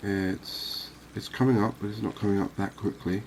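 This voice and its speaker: A man giving quiet, cozy ASMR commentary on a game, unhurried and thinking aloud. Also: on the low side, fairly even intonation, slight background noise. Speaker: gaming video host.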